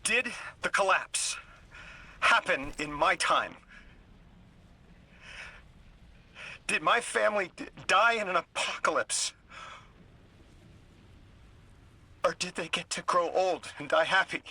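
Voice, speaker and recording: An earnest game hero lost out of his time. His voice has a bright, pressing edge and an emotionally open, naturalistic delivery. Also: high-pitched, normal speed, full character voice. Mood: anxious, pleading. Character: earnest, displaced man from the past, a polyglot. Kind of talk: naturalistic video game cutscene acting.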